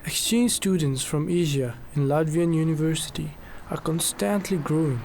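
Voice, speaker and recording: A man reading scripted documentary narration in an earnest, measured way. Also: fairly high pitch, darkish tone, faint music behind.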